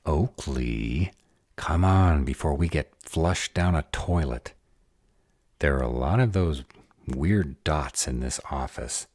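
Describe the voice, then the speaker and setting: A man's voice: extremely low pitch, lively intonation, slow pace, a little mellow, no echo, clean studio sound, full character voice. An actor playing a sarcastic but protective male were-dragon in an audio roleplay, muttering sardonic asides. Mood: flustered.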